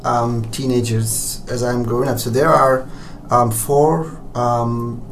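A man from Turkey with a Turkish accent answers interview questions conversationally as a doctor, haltingly and often repeating words. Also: medium pitch, flat delivery, slight background noise. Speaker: interview guest, pediatric oncologist.

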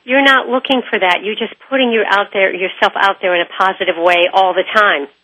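Bright, forceful woman interview host. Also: medium pitch, steady tone, a little fast, no echo, poor audio. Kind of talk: conversational broadcast interview.

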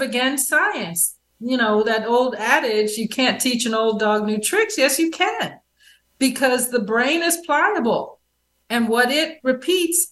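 A woman guest on a radio talk show, speaking emphatically and affirming often, sometimes in quick bursts. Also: a little high, fairly bright tone. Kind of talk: casual conversation. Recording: echoey room sound.

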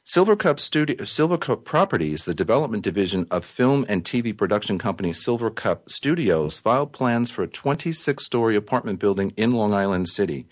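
A man hosting a live talk radio show, his voice hoarse and raspy, reading news items and chatting with a guest. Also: lowish voice. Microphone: studio microphone.

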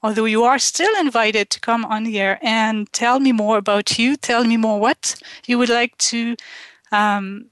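A woman from Quebec with a Quebec French accent, French her first language, hosting a call-in radio show in a halting way, with many ums and restarts. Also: on the high side, steady tone, a bit bright, dry recording. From Quebec, Canada. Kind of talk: slow, hesitant call-in radio talk.

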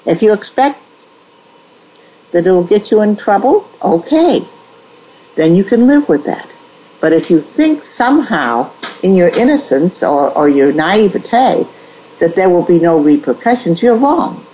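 A woman with a husky, slightly low voice speaks as an interview guest. She gives advice in a measured way, often restarting her sentences. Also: very animated, dark tone, rough recording. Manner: measured, advisory, with frequent restarts. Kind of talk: conversational interview.

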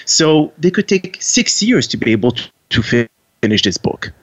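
A man's voice: mid-range voice, very animated, normal speed, breathy edge. Native French-speaking man with a Quebec accent, earnest interview guest, muffled lo-fi sound. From Quebec, Canada. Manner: earnest, with frequent restarts. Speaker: guest author. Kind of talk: interview answers.

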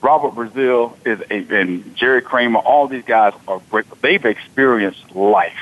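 A man's voice: medium pitch, normal speed. A man in his forties hosting a sports talk radio show, talking casually and animatedly, often repeating himself. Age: 40s. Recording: tinny phone-like radio audio.

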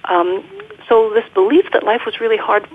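A woman's voice: medium pitch, fairly even intonation, normal speed, husky. Thoughtful middle-aged woman on a muffled line. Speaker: guest, leadership coach. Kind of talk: reflective interview conversation. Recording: muffled, band-limited phone-like line.

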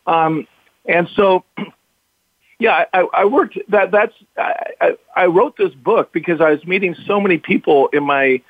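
A man with a slightly raspy, hoarse voice, speaking as an interview guest in an earnest, open way with halting bursts. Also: high voice, no room sound. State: recovering from illness, slightly hoarse. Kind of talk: remote interview. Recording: tinny phone-line audio, dry.

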